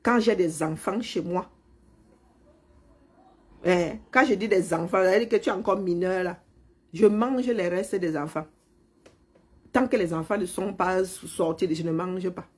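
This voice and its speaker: A woman's voice: on the low side, a little slow, pauses often, husky. A middle-aged woman, mockingly scolding a politician in a heated live-stream rant. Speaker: commentator replying to a politician.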